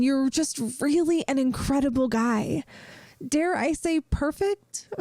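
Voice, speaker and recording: A woman playing a caring young best friend in a soft-spoken ASMR roleplay, gentle and earnest, hesitant at times, offering reassurance. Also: high voice, dry recording, studio quality. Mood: earnest, affectionate.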